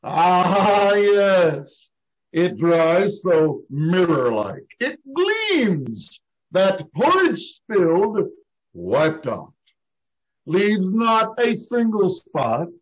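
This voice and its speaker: Man voicing a grandiloquent stage ham, slow swooping delivery, radio comedy pitchman, dull online recording. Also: big pitch swings, exaggerated voice. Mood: grandiose. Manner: theatrical, grandiloquent declaiming. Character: pompous hammy announcer playing Shakespearean actor. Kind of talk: old-time radio comedy sponsor pitch.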